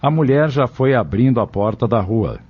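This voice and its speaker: A man's voice: mid-range voice, lively intonation, normal speed, slightly rough, dull audio. A man reading scripted narration for an audio drama.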